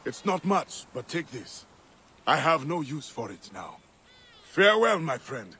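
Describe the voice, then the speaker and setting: A humble, grateful friend from a video game. His husky voice is fairly high and lively, with a bright edge. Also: measured pace, exaggerated voice. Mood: grateful, heartfelt. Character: grateful, humble male friend bidding farewell. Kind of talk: video game cutscene acting.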